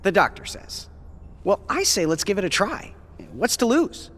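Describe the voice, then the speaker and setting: A man's voice: high-pitched, sing-song, moderate pace, fairly bright tone, exaggerated voice. A man playing an upbeat, curious young male sidekick in a video game, speaking casually and encouragingly. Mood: eager persuasion. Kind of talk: video game cutscene acting.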